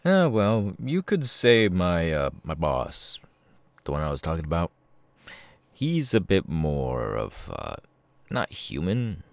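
Sadistic male killer for ASMR roleplay, acted by a man: low, gravelly, taunting, with wildly swinging pitch. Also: huge pitch swings, no room sound, full character voice. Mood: chatty, condescending. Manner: slow, taunting, drawn-out with sudden swings. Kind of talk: ASMR villain roleplay, close and menacing.

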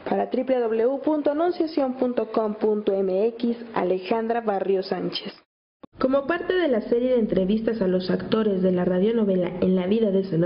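A woman with a Mexican accent presenting and asking questions as an interviewer. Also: mid-range voice. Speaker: interviewer and reporter. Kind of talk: interview questions and scripted introductions. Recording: echoey, muffled, faint noise.

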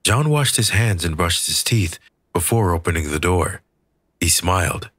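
A man narrating a scripted work of fiction as an audiobook reader, with a husky voice. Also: sits low, expressive, measured pace, fairly bright tone, dry recording, clean studio sound.

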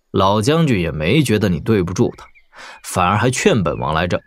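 A man playing a calm, commanding young warlord prince in an audio drama, speaking with measured, weighty deliberation. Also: mid-range voice, dry recording, natural voice. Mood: composed, stern.

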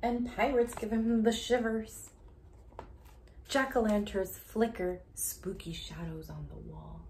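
A woman in her thirties doing an interactive picture-book read-aloud for young children, expressive and sing-song, with hushed asides and questions to the listener. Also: mid-range voice. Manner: slow, deliberate reading with questions to the listener. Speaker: children's story reader, educator. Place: small indoor room at home. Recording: home recording with faint background noise.

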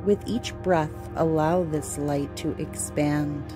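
A woman leading a guided meditation as host, speaking soothingly in short, deliberate phrases with long pauses. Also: low-pitched, fairly even intonation. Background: music.

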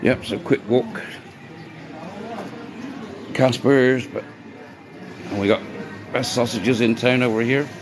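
A man chatting casually as he vlogs his day as a bar worker, rambling and greeting people as he goes, his voice going hoarse. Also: mid-range voice, fairly even intonation, slow pace, long pauses. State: voice getting hoarse. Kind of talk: casual walk-and-talk vlog. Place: outdoors on streets by a seafront. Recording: street noise behind the voice.

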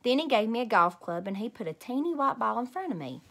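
A woman in her thirties reading a children's story aloud in an animated, emphatic way. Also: a little high, fast talker. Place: small room at home.

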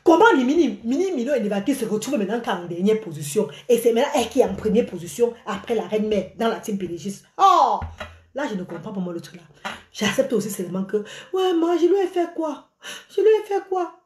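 A woman's voice: fairly high pitch, a little fast, roomy sound. A middle-aged woman, ranting animatedly to her followers on a live phone stream from a small room. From Cameroon. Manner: animated and exclaiming, gesturing a lot. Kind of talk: live video rant to followers. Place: small indoor room by a curtain. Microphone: phone at arm's length.

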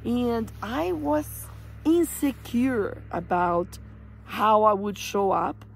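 A middle-aged woman from Brazil with a Brazilian Portuguese accent, telling a personal story in an animated, emphatic way, recorded outdoors on a phone held close. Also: on the high side, slow pace, gravelly, husky, rough recording. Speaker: hiking guide and empowerment coach. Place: outdoors in desert scrubland at dusk. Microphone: camera or phone at close range.

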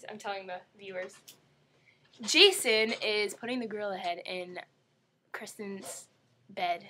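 A young woman chatting casually to camera in an excitable, gushing way, swearing now and then. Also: mid-range voice, unhurried, a little hiss or hum. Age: young adult. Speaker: video blogger. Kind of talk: casual chat to camera while opening a package.

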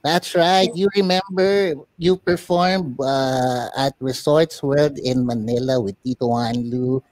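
A man's voice: medium pitch, takes it slowly, a little mellow, rough recording. A man hosting a talk show, casually interviewing guests and guiding them along.

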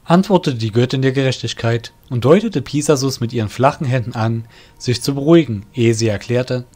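A man's voice: medium pitch, brisk, darkish tone, soft background music, close to an everyday voice. A man reading scripted narration as the storyteller of an audio drama.

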